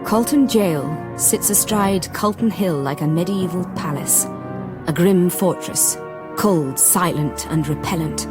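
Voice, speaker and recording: A woman's voice: fairly low pitch, a little slow, over music, close to an everyday voice. An actress playing a determined Victorian woman who narrates a gothic radio drama in the first person. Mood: grim.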